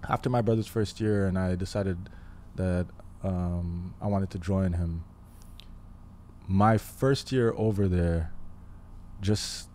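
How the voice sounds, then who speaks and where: Haitian-born guest in his 20s, deep mellow voice, measured and halting, close studio mic with faint room hiss. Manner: thoughtful, pauses often with fillers. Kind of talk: casual interview. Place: living room podcast set. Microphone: studio mic on boom arm, headphones.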